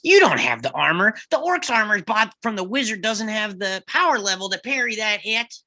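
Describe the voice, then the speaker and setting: A man playing an indignant, pedantic nerdy teenage boy deep in fantasy role-play, in a table read. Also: big pitch swings, normal speed, heavily acted. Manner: pedantic, loud. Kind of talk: live table read acting.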